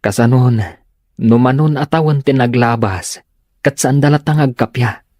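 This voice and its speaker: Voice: mid-range voice, normal speed, darkish tone, dry recording, clean studio sound, close to an everyday voice. An actor playing a stern, emphatic character who scolds in an Ilocano comedy drama. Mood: troubled.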